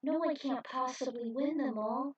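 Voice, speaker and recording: A woman playing a shrill, fiery young pilot. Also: moderate pace, breathy edge, highs cut off. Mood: anxious. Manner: shrill, shouting, desperate.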